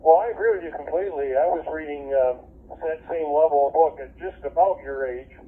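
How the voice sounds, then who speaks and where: A man's voice: on the high side, very animated, a little fast. An older, retired man with an American accent, chatting casually over two-way amateur radio in a rambling way, restarting and repeating his phrases. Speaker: retired police dispatcher, amateur radio operator. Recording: narrow, phone-like radio link with static noise.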